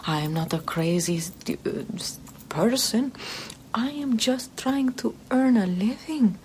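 A woman's voice: mid-range voice, natural voice. A woman doing a whispering Renaissance poison seller. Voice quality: soft whispery, coaxing. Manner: sweet-talking, with darkly calm menace. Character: sly flattering female poison seller, Renaissance Rome. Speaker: ASMR roleplayer. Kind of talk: ASMR roleplay. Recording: steady background hiss.

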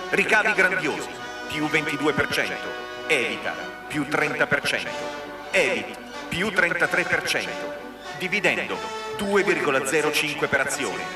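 Actor as bombastic corporate film narrator, echoing. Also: male voice, mid-range voice, close to an everyday voice. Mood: exultant. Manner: grandiose, declamatory, slogan-like. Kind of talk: scripted promotional narration in radio drama. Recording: roomy echoing sound over music. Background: music.

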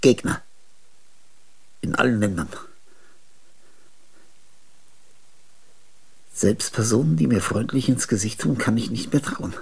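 Eine heisere, knarzende Männerstimme gehört einem kranken, argwöhnischen alten Künstler im Hörspiel. Er spricht eher tief, springt lebhaft in der Tonhöhe und wechselt theatralisch zwischen gehauchtem Flüstern und plötzlichen Ausbrüchen. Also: male voice, medium pitch, lively intonation, normal speed, creaky, husky, heavily acted. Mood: paranoid. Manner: theatrical, swinging from hushed whispers to sudden outbursts. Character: ailing, suspicious, self-aggrandizing older male artist. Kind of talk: radio drama acting.

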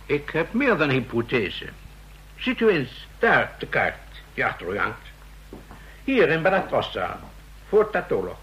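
Brief: insistent expert in a radio play, husky and sharp, animated delivery. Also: male voice, medium pitch, measured pace, full character voice, rough recording. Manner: explanatory, insistent. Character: male counterfeiting expert, lecturing, insistent. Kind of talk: radio drama acting.

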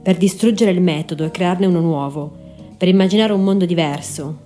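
Female podcast narrator, twenties, gentle mellow timbre, scripted read over quiet music. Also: fairly low pitch, fairly quick. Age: 20s. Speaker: podcast host and writer.

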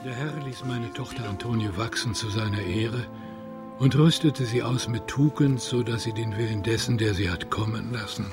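An actor playing a pious family patriarch in a radio drama, reciting formally with solemn devotion. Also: male voice, medium pitch, moderate pace, lightly acted. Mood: solemn devout. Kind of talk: German radio drama acting, devout recitation. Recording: clear music over the voice. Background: music.

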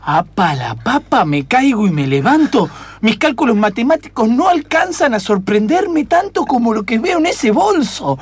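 A woman's voice: fairly high pitch, big pitch swings, moderate pace, hardly any pauses, husky, no echo. A woman playing a pompous, irritable male scientist in an improvised radio comedy, speaking in a declamatory way. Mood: pompous, amazed. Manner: grumpy, self-important, declamatory.

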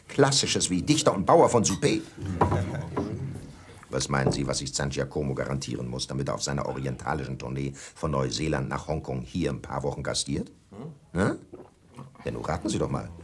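An actor playing a pompous, scornful colonial hotelier in a German radio play, blustering and insinuating with a gravelly voice. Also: male voice, rumbling low, a little hiss or hum, full character voice.

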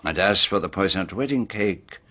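Low-voiced, methodical detective. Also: male voice, expressive. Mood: methodical, grave. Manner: deliberate, formal, precise accusing exposition. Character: shrewd, methodical older male detective explaining a crime. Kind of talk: radio drama acting. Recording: dull band-limited radio drama recording.

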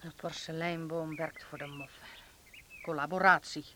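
A man's voice: fairly high pitch, fairly even intonation, moderate pace, bright, forceful tone, a little hiss or hum. A man acting a sardonic, principled patriot in a Dutch wartime radio drama, with a biting and indignant way of talking. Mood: cold disapproval.

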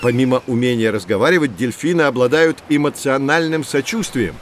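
A man's voice: mid-range voice, a little fast, a little hiss or hum, close to an everyday voice. A man playing a kindly, enthusiastic professor who guides children in an educational audio drama.

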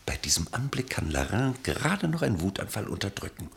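An actor playing the narrator of a radio play, telling the story in a literary, vividly descriptive, wry manner. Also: male voice, fairly low pitch, sing-song, fairly quick, vocal fry, a bit bright, heavily acted. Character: male storyteller of a wry, literary war escape tale.

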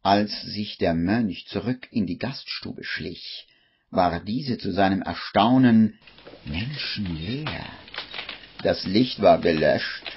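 Slow, low-voiced male radio drama narrator. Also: slight background noise. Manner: measured storyteller. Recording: slightly dull radio recording with music.

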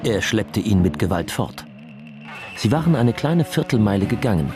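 A man's voice: on the low side, sing-song, normal speed, noisy background. A man reading scripted literary narration as the narrator of a radio play. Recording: music clearly behind the voice.

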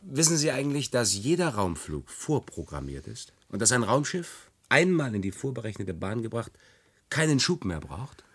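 A man's voice: mid-range voice, sing-song, moderate pace, breathy edge. An actor playing a sceptical younger trainee in a radio play, questioning and challenging in his manner. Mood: probing, challenging. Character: sceptical, probing younger male trainee worker.

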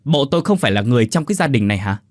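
A man voicing a gruff, irritable older father who snaps back in quick retorts. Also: on the low side, lively intonation, no room sound, studio quality.

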